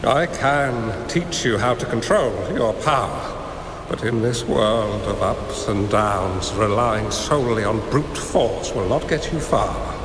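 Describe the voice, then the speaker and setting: A man playing a calm, wise, gently stern old hermit master in a video game, speaking in measured, formal, philosophical phrases. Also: lowish voice, background noise. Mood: grave, advising. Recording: reverberant hall echo, music behind. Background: music.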